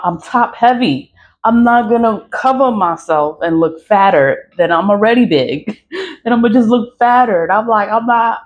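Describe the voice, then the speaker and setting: A woman in her mid-forties ranting casually to her followers, blunt and profane, laughing often between points. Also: medium pitch. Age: 40s. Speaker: social media creator. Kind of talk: casual vlog rant.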